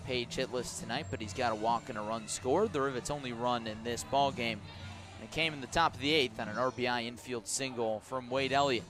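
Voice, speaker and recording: A man doing live radio play-by-play of a baseball game, giving measured calls mixed with explanatory asides and dry understatement. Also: medium pitch, music in the background. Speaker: baseball play-by-play announcer for a team's radio broadcast. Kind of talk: live radio sports commentary. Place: outdoor ballpark at night.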